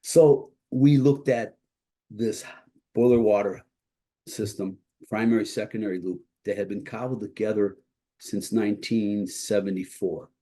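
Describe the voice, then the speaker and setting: A man with a lowish, dark voice presents like a college teacher talking through his own practice. He sounds congested and husky, as if his voice is starting to go. His delivery is informal and explanatory, with halting self-corrections. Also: steady tone. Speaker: college instructor presenting to educators.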